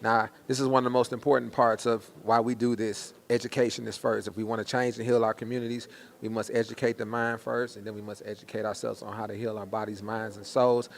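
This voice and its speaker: Gravelly American man, slangy event host. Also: fairly low pitch, little pitch movement, quick pace. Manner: slangy, hyped, conversational. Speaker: interviewer. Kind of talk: casual promotional interview.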